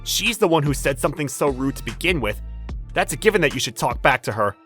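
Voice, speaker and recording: A woman voicing a loving, protective husband who speaks softly and turns angry at his mother. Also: low voice, lively intonation, fast talker, soft background music, pitched below the performer's own voice. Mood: gentle. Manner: gentle and soothing, furious when defending.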